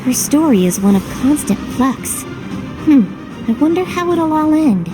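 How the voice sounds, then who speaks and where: A woman's voice: high voice, expressive, normal speed. An actress playing an inquisitive female companion in a game cutscene, musing and teasing, with music behind. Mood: curious. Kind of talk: video game cutscene acting.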